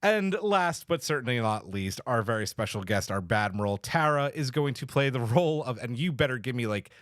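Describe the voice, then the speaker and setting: A man's voice: mid-range voice, lively intonation, fairly quick, no room sound, studio quality. A man hosting a podcast in an enthusiastic, casual, chatty way. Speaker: podcast host.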